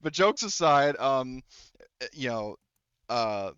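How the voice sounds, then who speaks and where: A man runs a tabletop roleplaying game as game master. He narrates off the cuff in a halting, casual way with some swearing. His pitch is middling, and the recording is dry and slightly dull. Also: sing-song, a bit bright, clear, resonant tone, dry recording. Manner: halting, conversational, profane.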